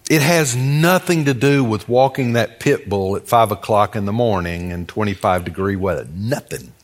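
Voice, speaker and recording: A man's voice: fairly low pitch, moderate pace. An American man preaching a sermon in a folksy, conversational way, telling stories, repeating phrases for emphasis and asking rhetorical questions.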